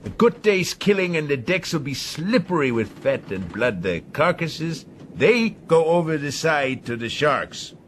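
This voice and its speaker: Blunt, menacing sea captain, radio drama; measured orders, clear shipboard noise behind. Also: male voice, fairly high pitch, very animated. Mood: stern, menacing.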